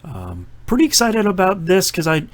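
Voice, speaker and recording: A man hosting a casual podcast about video games, chatting and running the segments with quick asides. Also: sits high, wildly swooping pitch. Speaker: podcast host. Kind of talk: casual chat.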